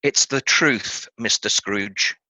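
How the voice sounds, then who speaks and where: A man playing a polite, earnest gentleman charity collector in a radio play, speaking formally and courteously. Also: on the low side, slow pace, dry recording, full character voice, poor audio. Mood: polite, pleading.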